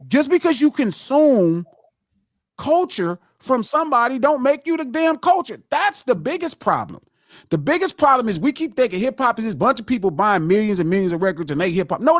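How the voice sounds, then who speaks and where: A man's voice: extremely high pitch, fairly bright tone, dry recording, studio quality. A man hosting a podcast in a heated, casual debate, preaching his point emphatically and repeating himself for effect. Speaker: podcast host.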